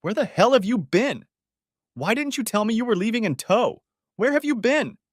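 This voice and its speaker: A man's voice: high-pitched, big pitch swings, normal speed, dry recording, studio quality, voice pushed up. A man voicing an agitated, defensive wife in a dramatic story reading. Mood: angry, frantic. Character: Carol, agitated defensive wife in a troubled marriage. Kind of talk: audiobook-style dramatic reading of dialogue.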